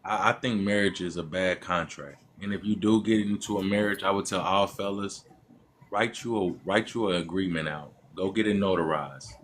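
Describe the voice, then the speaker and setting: A man's voice: low-pitched, fairly even intonation, moderate pace, a bit bright, slight background noise. A man calling into a live stream, giving his opinion in an emphatic, repetitive, preachy way. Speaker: caller. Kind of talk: opinionated call-in talk.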